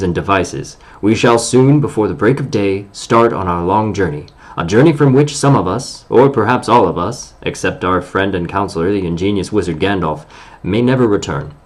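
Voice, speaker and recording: A man's voice: on the low side, animated, normal speed. Pompous dwarf chief, as a man reads him. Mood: solemn. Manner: solemn, long-winded oratory. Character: grave, pompous male dwarf leader.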